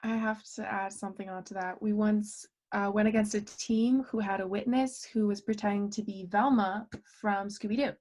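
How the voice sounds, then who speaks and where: A woman's voice: mid-range voice, steady tone, normal speed, few pauses, a little mellow. A young woman in a college mock trial club, chatting in an upbeat, giggly way on a video call. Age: young adult. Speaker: mock trial member running questions. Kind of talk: casual Zoom chat.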